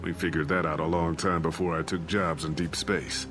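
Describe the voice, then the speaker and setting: A man's voice: low-pitched, little pitch movement, normal speed, natural voice. A middle-aged man playing a disgraced, evasive ship captain and father in a video game, talking defensively and justifying himself. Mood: self-justifying. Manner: defensive, rationalizing. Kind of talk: dramatic video game voice acting. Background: cinematic background music.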